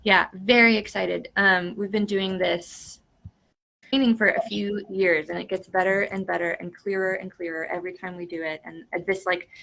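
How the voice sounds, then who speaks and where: Guest, woman, gravelly fry, bright edge, chatty, lots of fillers. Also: lowish voice, low-quality recording. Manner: fills with "like" and "um". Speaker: guest voice teacher. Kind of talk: casual interview chat.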